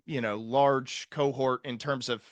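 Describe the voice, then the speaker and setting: A man hosting a physician podcast, speaking in a measured way and thinking aloud with fillers. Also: medium pitch, fairly even intonation, dry recording, lo-fi sound. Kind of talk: podcast discussion.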